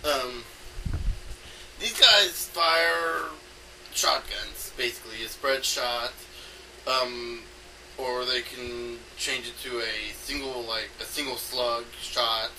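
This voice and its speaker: Man, very bright forceful voice, halting unscripted rambling, thinking aloud, faintly noisy home recording. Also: mid-range voice, distant mic. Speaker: amateur game designer recording an audio log.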